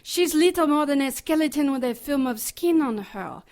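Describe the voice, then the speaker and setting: An actress playing an excitable, spiteful nun in an audio drama, blunt and dramatic. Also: female voice, sits high, moderate pace. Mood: callous, sardonic.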